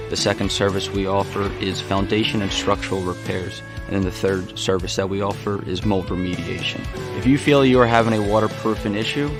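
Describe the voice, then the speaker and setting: A man who works as an inspector, reading a plain, friendly ad that lists his company's services. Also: on the low side. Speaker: home repair inspector in an ad. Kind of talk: read radio ad. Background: instrumental music bed.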